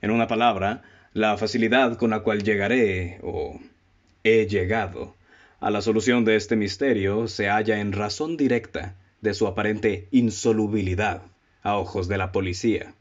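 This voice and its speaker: A man with a Mexican accent acting a self-assured, analytical detective in a radio play, speaking formally and deliberately, on a lo-fi recording. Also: lowish voice, fairly even intonation, lightly acted. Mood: confident, explaining. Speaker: amateur actor in a radio play.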